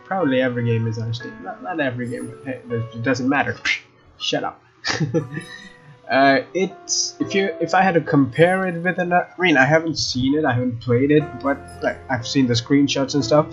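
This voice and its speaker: A man's voice: mid-range voice, normal speed, a bit bright, muffled recording. A young man hosting a let's play, commenting on a game and reading its text aloud in a casual, jokey way. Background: faint game music.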